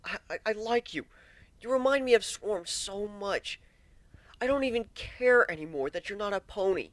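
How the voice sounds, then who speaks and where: Guy doing a sad wimpy pegasus pony, stammery and full of self-loathing, pitched up from his own voice, storybook style. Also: animated, a bit bright, dry recording. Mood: torn, helpless. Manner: halting, stammering, anguished delivery.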